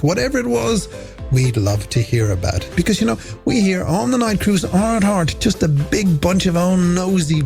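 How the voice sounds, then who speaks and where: A man hosts a late-night radio show over a music bed. His voice sits a little high and swings widely in pitch, with a gravelly creak at the edges. He delivers chatty, playful patter like a scripted link between songs. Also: darkish tone. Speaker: radio presenter.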